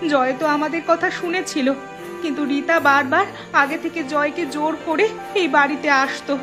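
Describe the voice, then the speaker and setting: A woman's voice: high-pitched, moderate pace, music in the background, lightly acted. A woman playing an anxious, lamenting village mother in a melodramatic cartoon. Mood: worried. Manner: fretful, lamenting.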